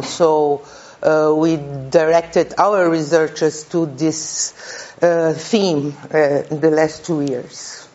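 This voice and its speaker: A Brazilian woman professor with a Portuguese accent gives an informal talk at a conference, unhurried and full of hesitant fillers. Her speech comes through a slightly muffled, lo-fi recording. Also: lowish voice, a little mellow. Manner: halting, many uh fillers and restarts. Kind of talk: informal academic presentation. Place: conference room.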